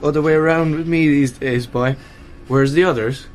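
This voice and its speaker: A man's voice: on the high side, fairly even intonation, moderate pace, faint music behind, natural voice. An actor with an Irish accent playing a seriously ill man of thirty, blunt and sardonic, in a naturalistic radio play. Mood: sardonic, easygoing. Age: 30s. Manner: dry, blunt, colloquial.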